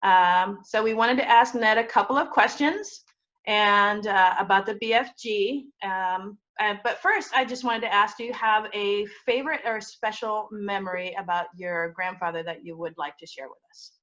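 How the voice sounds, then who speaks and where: A woman's voice: mid-range voice, moderate pace, fairly bright tone, highs cut off. An American woman casually hosting an interview for children, with informal, hesitant phrasing. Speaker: library host, interviewer. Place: remote recording from home.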